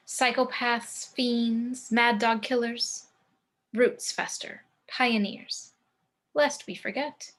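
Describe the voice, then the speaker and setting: A woman's voice: on the high side, steady tone, takes it slowly, a bit bright, rough recording. A woman playing an elegant, grandly morbid matriarch in a script table read, composed and dramatic. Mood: grave, proud.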